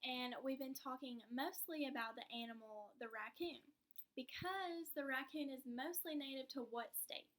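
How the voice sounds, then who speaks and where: A woman's voice: high-pitched, moderate pace, fairly bright tone, poor audio. A woman teacher giving a read-aloud lesson in an encouraging, instructive manner with guiding questions.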